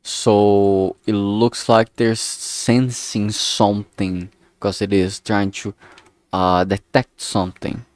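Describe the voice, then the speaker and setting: A man's voice: on the low side, level tone, takes it slowly, a little mellow, dry recording. A man thinking aloud casually as he works, speaking hesitantly and often trailing off mid-thought. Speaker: streamer making a visual novel.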